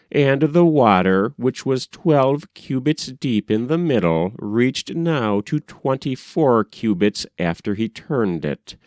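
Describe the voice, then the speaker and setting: A man narrating an ancient tale as an audiobook reader, in a measured, solemn storytelling manner. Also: mid-range voice, darkish tone, dry recording, clean studio sound.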